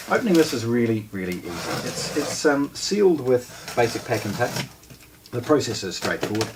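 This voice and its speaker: A man in his forties with a New Zealand accent giving an informal, explanatory unboxing demonstration, pausing mid-sentence as he goes. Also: fairly low pitch. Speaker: product presenter for a hi-fi shop. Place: indoor room with shelves of discs and a wooden table. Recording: distant mic, noticeable background noise.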